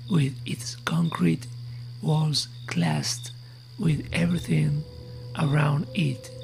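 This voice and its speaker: Very slow, low, gravelly female storyteller. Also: warm tone, poor audio. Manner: measured, suspenseful. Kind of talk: scripted horror story narration.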